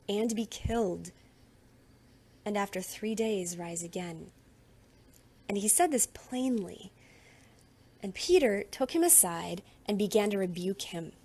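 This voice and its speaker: Slightly husky woman reading scripture aloud. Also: medium pitch, a little mellow. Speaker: scripture reader. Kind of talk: expressive read-aloud of Bible narrative.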